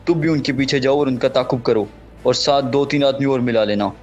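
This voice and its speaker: A man playing a calm commanding village chief. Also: mid-range voice, fairly quick, highs cut off, faint music behind, lightly acted. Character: steady village chieftain, middle-aged man.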